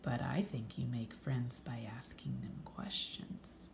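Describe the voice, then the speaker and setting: A woman's voice: extremely low pitch, steady tone, slow, creaky, a little mellow, reverberant, in a whisper, voice pushed down, heavily acted. A woman voicing an earnest, inquisitive male fox in a storybook, thoughtful, wistful and questioning. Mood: wondering.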